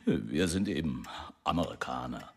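Genial, deferential husband for radio drama: extremely low, rough-edged voice, unhurried. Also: male voice, lively intonation, exaggerated voice. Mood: agreeable. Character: genial, agreeable American husband, deferring to his wife. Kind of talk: German radio drama acting.

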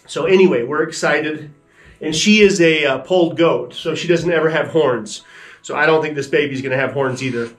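A man in his forties calmly explaining his goats to camera inside a wooden barn. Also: on the high side, moderate pace, faint music behind. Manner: relaxed, chatty, matter-of-fact. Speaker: ranch owner and vlogger. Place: wooden barn goat pen.

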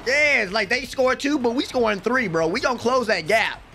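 A young man doing excited live reaction commentary, slangy and exclamatory, repeating words, on a close mic in a small room at home. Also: very high voice, normal speed, faint music behind. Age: young adult. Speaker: anime reaction host. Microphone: close desk microphone with headset on.

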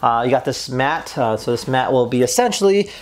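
A man's voice: medium pitch, animated, fairly quick, background noise. A young adult man presenting a casual product unboxing and review in an upbeat, conversational way, indoors in a small room. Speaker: host of an unboxing and review video.

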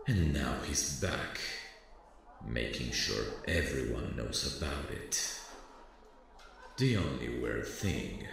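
An actor playing a weary, brooding former detective who thinks aloud slowly in an ASMR audio drama, with a deep, gravelly voice. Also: male voice, fairly bright tone, a little hiss or hum, exaggerated voice. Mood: grimly suspicious. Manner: slow, musing, muttering to himself. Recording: very roomy, echoing sound.

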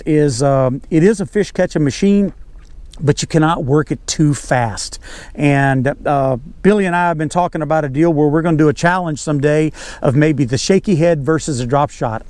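A man's voice: on the high side, darkish tone, no room sound. An older man with a Southern US accent giving an informal, folksy fishing lesson as a guide, repeating key words for emphasis. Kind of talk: informal instructional talk. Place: outdoors on a bass boat on a lake.

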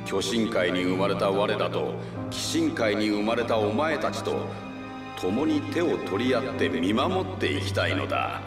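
A gentle, hopeful old giant in a game flashback. The low, stately voice rings with echo from a distance, over clear music. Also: male voice, animated. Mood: contemplative, hopeful. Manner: stately, contemplative, measured. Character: wise, gentle, hopeful male giant elder. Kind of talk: dramatic video game flashback acting. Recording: echoing, distant flashback effect.

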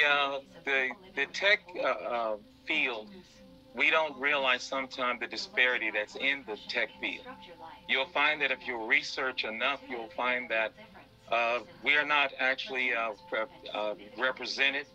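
A man gives earnest explanations as an interview guest. His voice is very sharp and forceful, and he repeats words haltingly. The sound is dull, with faint music underneath. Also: mid-range voice. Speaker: interview guest, business founder.

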